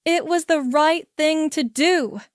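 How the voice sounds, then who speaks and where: A woman's voice: sits high, takes it slowly, no room sound, studio quality, pitched above the performer's own voice. A woman reading as an angry, blaming husband. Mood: accusing. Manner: angry, pressing, raised voice. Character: furious middle-aged husband, pleading and blaming.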